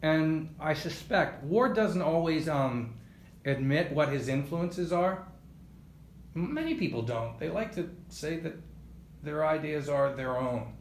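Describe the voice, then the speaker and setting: A man hosting a boxing talk show, speaking conversationally in wordy, halting sentences and posing rhetorical questions. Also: fairly high pitch, expressive. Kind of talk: conversational sports interview. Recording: roomy echo, faint background noise.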